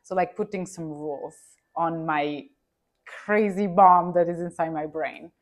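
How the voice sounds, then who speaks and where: A woman's voice: lowish voice, unhurried. Reflective Italian-accented woman guest. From Milan, Italy. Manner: reflective, searching for words, light laughs. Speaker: podcast guest. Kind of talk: casual interview chat.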